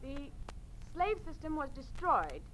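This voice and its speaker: An actress playing a timid young female pupil, hesitant and halting, in an old-time radio drama. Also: high-pitched, sing-song, fairly bright tone, exaggerated voice, poor audio.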